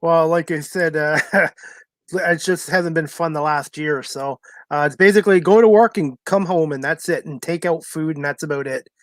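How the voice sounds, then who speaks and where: A man's voice: on the high side, normal speed, dry recording. Canadian male host, easygoing, filler-heavy, plain dry mic. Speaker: talk show host. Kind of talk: casual live show chat.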